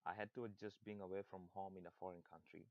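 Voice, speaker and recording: A man's voice: deep voice, little pitch movement, fast, dry recording, highs cut off. A young man from Kenya with a Kenyan accent, giving a prepared personal speech and sometimes restarting a word. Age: young adult. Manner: reads steadily, restarts words. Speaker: student speaker.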